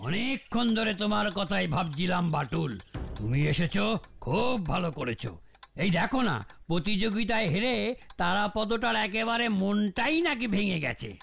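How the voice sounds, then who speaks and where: A man voicing a fussy, worried gentleman in a cartoon with a creaky voice. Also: high voice, lively intonation, normal speed, soft background music, exaggerated voice. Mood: fretful. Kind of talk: cartoon voice acting.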